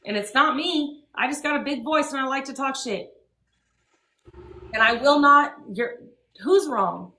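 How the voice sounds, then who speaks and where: A young woman with a high, bright voice rants heatedly and swears freely. She hammers her points home on a livestream. The voice rings in an echoey room. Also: a little slow, long pauses. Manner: profane, ranting, emphatic. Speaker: livestream host.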